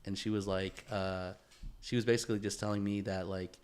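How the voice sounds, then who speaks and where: A man's voice: fairly low pitch, flat delivery, a little fast, darkish tone, clear, resonant tone. Rambling, slangy man chatting on a podcast. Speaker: podcast co-host. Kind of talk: casual podcast chat.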